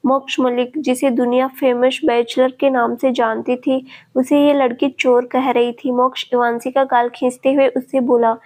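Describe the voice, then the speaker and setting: A woman narrating a scripted family story at a steady, even reading pace. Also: sits high, normal speed.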